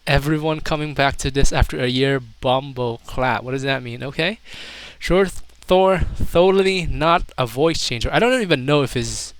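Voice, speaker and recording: A man's voice: mid-range voice, moderate pace, dry recording. A young adult man casually reading out internet comments as a commentator, with mocking, incredulous, slangy asides. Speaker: video commentator.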